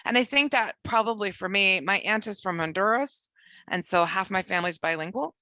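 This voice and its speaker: A woman instructor speaks in a bright, clear voice over a video call. She is chatty, circling back and restarting her sentences, with a lot of "you know". The sound is dry, with no room echo. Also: medium pitch, expressive, fairly quick. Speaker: economics and finance instructor.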